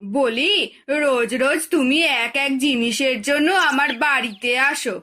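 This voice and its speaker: An actress playing a disdainful, sharp-tongued village woman in a cartoon, scolding and dismissive. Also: high-pitched, measured pace, exaggerated voice. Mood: irritated. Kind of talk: cartoon dubbing.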